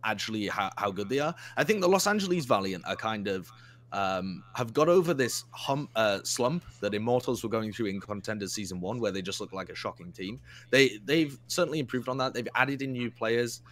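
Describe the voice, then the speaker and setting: Young man, lower voice, measured, analytical, dry humor. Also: animated, fairly bright tone. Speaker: co-host analyst. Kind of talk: casual esports analysis chat.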